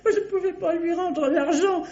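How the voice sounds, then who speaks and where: An obsessive woman collector confessing a crime, self-pitying and unrepentant. Her voice is high and theatrical, in old radio mystery style. The recording is dull and reverberant. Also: dark tone. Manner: theatrical, emotive, drawn-out confession. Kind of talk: 1950s French radio mystery acting. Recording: dull, echoey vintage radio drama recording.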